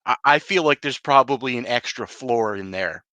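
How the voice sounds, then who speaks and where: A man's voice: mid-range voice, animated, moderate pace, pushed and bright, no room sound. A man playing a tabletop role-playing game, reasoning practically aloud in casual, improvised chat.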